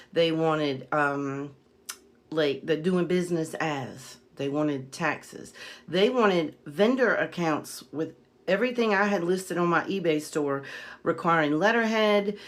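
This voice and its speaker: Casting: American-born woman, lowish voice, online seller vlogger, plain-spoken and aggrieved, casual storytelling with firm emphasis. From United States. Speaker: online reseller and video creator. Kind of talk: casual vlog storytelling and advice.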